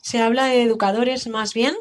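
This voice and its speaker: Mujer con acento alemán, voz algo aguda. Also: female voice, fairly high pitch, steady tone, moderate pace. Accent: German. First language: German. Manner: careful, explanatory. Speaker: panelist.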